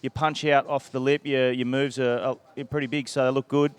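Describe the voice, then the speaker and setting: A man's voice: medium pitch, flat delivery, normal speed, clean tone. A man with a handheld mic interviewing an athlete outdoors at a beach event, chatty with hesitant fillers. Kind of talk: post-heat interview.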